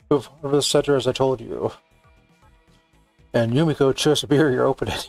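A man with a gravelly, mid-pitched voice reads visual novel text aloud as a gaming host, with casual dry asides. The recording is close and dry, with soft music behind him. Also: dry recording.

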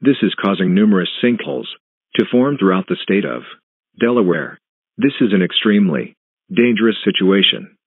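A man reading a scripted emergency broadcast announcement in a flat, formal, synthetic manner. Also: lowish voice, normal speed, no room sound. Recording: tinny phone-like band, muffled husky sound.

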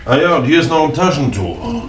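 A man's voice: on the high side, wildly swooping pitch, fairly quick. Policeman in a roomy recording. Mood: reporting. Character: brisk policeman searching and reporting, a man. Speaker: actor in a crime radio play. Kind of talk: 1960s German radio drama acting. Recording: roomy, noisy old vinyl record.

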